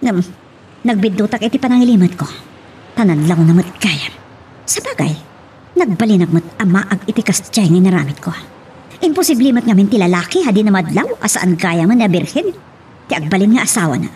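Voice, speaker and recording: A woman playing a scheming, embittered wife in a radio drama, dramatic and expressive, with a Filipino accent. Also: mid-range voice, brisk, distant mic, slight background noise, lightly acted. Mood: brooding, bitter. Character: scheming, embittered wife in a failing childless marriage.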